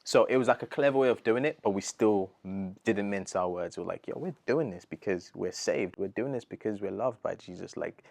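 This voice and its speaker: Earnest British man, low dry voice. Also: brisk, creaky, few pauses, dry recording. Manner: earnest, animated, slangy, says bro a lot. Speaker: gospel artist, interview guest. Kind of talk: casual interview chat.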